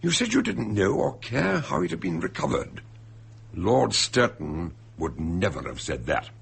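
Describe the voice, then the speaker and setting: A man acting in a radio drama as an eccentric, shrewd detective, expounding a murder with deliberate, theatrical emphasis. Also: lowish voice, sing-song, gravelly, slightly rough, exaggerated voice. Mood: shrewd, assured.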